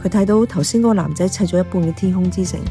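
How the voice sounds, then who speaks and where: Actress as mellow female storyteller narrating. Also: fairly low pitch, fast, faint music behind, natural voice. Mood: calm, observant. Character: observant café worker telling the story. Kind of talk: scripted first-person radio drama narration.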